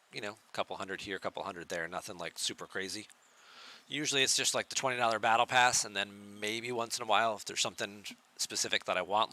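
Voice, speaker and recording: A soft-spoken man streams a game from his home setup and chats easily with viewers. His voice sits a little low in pitch but comes across very bright and forward. He talks in a relaxed, chatty way. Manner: relaxed, chatty, answers chat questions. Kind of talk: casual live-stream chat with viewers.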